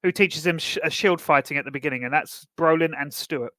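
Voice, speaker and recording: A man's voice: a little high, fast, sharp tone, dry recording. A man co-hosting a podcast, discussing films informally and thinking aloud as he goes. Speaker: podcast co-host. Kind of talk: casual film discussion.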